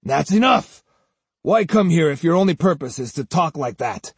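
A man's voice: on the high side, expressive, fairly quick, husky, dry recording, voice pushed up. A man voicing a proud, hot-tempered samurai retainer with an indignant, blustering manner in an audiobook story.

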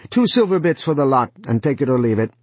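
An unctuous one-eyed buyer haggles over a starving family's goods, as a male narrator puts him on. His tone is oily with a hard edge, lifted above the reader's own low voice. It is husky and dry. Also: big pitch swings, fast, no echo. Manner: unctuous then harsh. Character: one-eyed city land buyer, greedy, man.

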